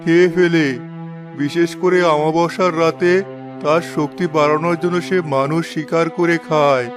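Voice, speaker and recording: A man's voice: on the high side, steady tone, normal speed, natural voice. A man playing an elderly village uncle in a cartoon, giving grave, fatherly warnings. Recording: music behind the voice.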